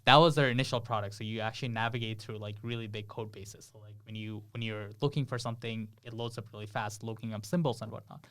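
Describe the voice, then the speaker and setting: A man's voice: lowish voice, steady tone, fast, few pauses, a bit bright, clean tone. A young man from Bangladesh, a podcast guest, talking casually and explaining with frequent laughs and fillers. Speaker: podcast guest, software engineer.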